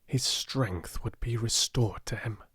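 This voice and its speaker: A gentle Indian manservant from a storybook, voiced by a male reader. He is humble and devoted, with a measured, deferential way of speaking and an air of quiet mystery. The sound is dry and close. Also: medium pitch, breathy edge, dry recording, exaggerated voice. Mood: reverent.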